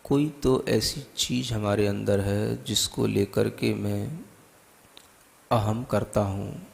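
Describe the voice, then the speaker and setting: A man with an Indian accent leading a guided meditation as a spiritual teacher, giving calm, deliberate instructions with long pauses. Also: fairly low pitch, gravelly. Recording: long hall-like reverb.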